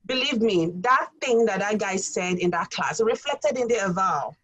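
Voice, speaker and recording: A woman's voice: mid-range voice, animated, normal speed, fairly bright tone, lo-fi sound. A woman from Nigeria with a Nigerian accent, a college English instructor telling stories earnestly in a podcast roundtable, with many fillers.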